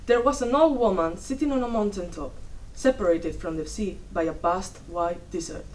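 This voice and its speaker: A young woman performs a dramatic story reading in a fairly low voice. She goes deliberately, phrase by phrase, like a stage storyteller. The mic is some way off, and faint noise sits behind her. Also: darkish tone. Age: young adult. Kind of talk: dramatic storytelling read from a script. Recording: distant mic, noisy room.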